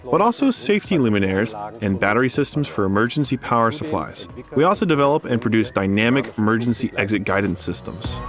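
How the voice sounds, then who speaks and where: Low-voiced man narrating over music. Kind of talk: scripted testimonial narration in a corporate video. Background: background music.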